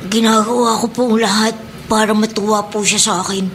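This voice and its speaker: A woman's voice: medium pitch, flat delivery, brisk, a bit bright, slight background noise, lightly acted, rough recording. A woman playing a polite, eager young boy in a Filipino radio drama, deferential and prone to stammering when flustered. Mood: meek, hopeful. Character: young boy Mark, poor former snatcher, meek and eager.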